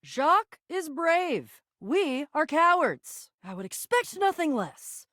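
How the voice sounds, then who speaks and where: Game heroine, American: exasperated, theatrical young adventurer, very animated delivery, dry close recording. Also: female voice, sits high, no echo, exaggerated voice. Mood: sarcastic. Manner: theatrical, exasperated, quick to swing between bravado and fluster. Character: excitable, bossy, sarcastic young female adventurer on a quest. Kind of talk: video game voice acting.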